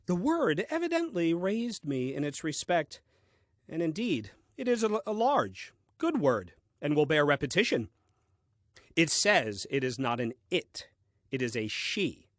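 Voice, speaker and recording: An actor playing a grumbling, solitary first man reading out his diary in a comic audio play, with a dry, deliberate manner. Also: on the high side, no room sound.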